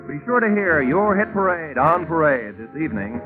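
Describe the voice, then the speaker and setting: A man's voice: on the high side, sing-song, normal speed. A man with an American accent announcing network programs in scripted 1940s radio style. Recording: tinny 1940s radio broadcast with music.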